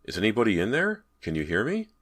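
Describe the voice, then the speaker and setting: A man's voice: lowish voice, huge pitch swings, fast, fairly bright tone, voice pushed up, full character voice. A man voicing a nervous teenage boy, speaking in urgent, raised calls. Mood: urgent, anxious.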